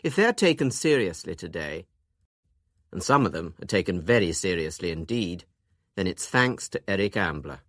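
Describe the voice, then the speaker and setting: Lively, low-pitched man presenting a documentary. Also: moderate pace, dry recording, clean studio sound. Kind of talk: scripted radio documentary narration.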